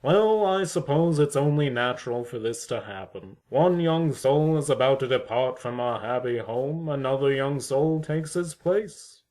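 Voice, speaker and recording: A man's voice: medium pitch, animated, measured pace, hardly any pauses, a little mellow, natural voice, rough recording. A man playing a sly, eccentric neighbour in an amateur audio drama, formal, theatrical and unruffled. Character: eccentric, smugly courteous male neighbour, sly troublemaker.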